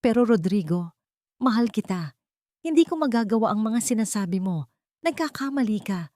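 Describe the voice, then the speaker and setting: Scheming wife, lifted pitch, falsely sweet, pleading, melodramatic audio story; woman narrator acting. Dry, close sound. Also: animated, moderate pace, dark tone, no room sound, natural voice. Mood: desperate pleading. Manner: feigned innocence, pleading.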